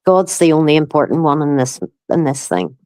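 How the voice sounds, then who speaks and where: A woman's voice: low-pitched, moderate pace, a little mellow, no room sound. A woman with a Northern Irish accent chatting casually as a livestream guest, plain-spoken and opinionated. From County Down, Northern Ireland. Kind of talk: casual chat.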